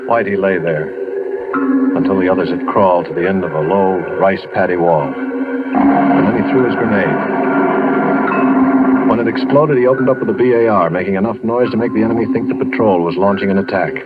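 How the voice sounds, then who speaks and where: An actor playing a modest, solemn American soldier telling a war tale in an old radio drama. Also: male voice, deep voice, fairly quick, long pauses, narrow, tinny audio, natural voice. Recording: band-limited old radio recording. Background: music.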